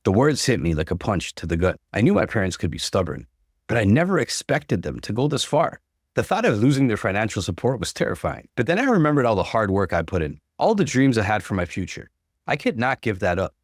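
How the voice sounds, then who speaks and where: A man narrating a first-person story in an earnest, clearly articulated, dramatic way. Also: lowish voice, big pitch swings, a little fast, no room sound, clean studio sound.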